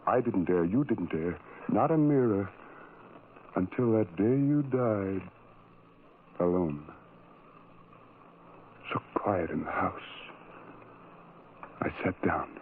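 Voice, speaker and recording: A man's voice: low-pitched, animated, slow pace, long pauses, narrow, tinny audio, slight background noise, heavily acted. An actor playing a tormented, self-loathing ugly man who narrates his life in anguished, brooding, repetitive phrases, in a 1970s radio drama style. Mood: brooding, sorrowful. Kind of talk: 1970s radio drama acting, first-person monologue. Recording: thin vintage radio sound, music underneath.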